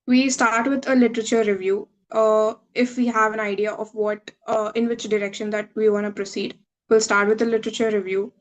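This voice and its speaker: A woman's voice: on the high side, flat delivery, a little fast. A woman in her twenties from India, a medical student giving a webinar talk over a video call, explaining steadily with some filler uhs. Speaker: guest speaker, medical student. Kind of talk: webinar presentation reading slides.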